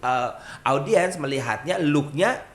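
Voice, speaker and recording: A young adult man, a dance group founder and trainer, chatting on a podcast in an animated way. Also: fairly high pitch, unhurried. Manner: animated, sings melodies and acts out quoted voices. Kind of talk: casual podcast conversation.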